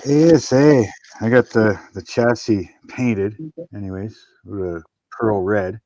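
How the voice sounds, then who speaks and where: A man hosting a casual livestream from his workshop, talking in a slow, easygoing, rambling way about his projects. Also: fairly low pitch, dark tone, no room sound, poor audio. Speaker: livestream host in a garage workshop.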